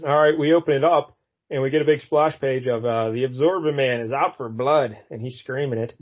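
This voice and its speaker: A man's voice: medium pitch, a little fast, highs cut off. Rambling man chatting comics. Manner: rambling, many fillers, chuckles. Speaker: podcast co-host. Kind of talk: casual comic book chat.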